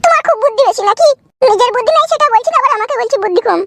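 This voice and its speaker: A woman's voice: very high-pitched, lively intonation, vocal fry, noisy background, exaggerated voice. A woman voicing a sulky, naive young wife in a comedy cartoon, talking in a whiny way. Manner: whiny, quick.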